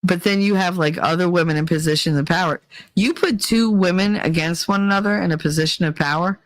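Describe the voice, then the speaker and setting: A woman's voice: fairly low pitch, fairly quick, no echo, rough recording. A woman hosting a vlog, giving blunt, rambling opinions with plenty of swearing. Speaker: vlog host. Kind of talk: casual opinion monologue.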